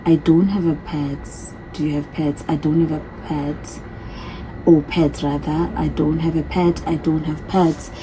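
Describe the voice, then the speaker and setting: A woman's voice: low-pitched, level tone, unhurried, noisy background. A woman in her thirties chatting casually through a get-to-know-me question list, often repeating her answers. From from a different continent. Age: 30s. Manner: chatty, repeats herself, reads questions aloud. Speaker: vlogger. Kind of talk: casual Q&A vlog. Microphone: phone.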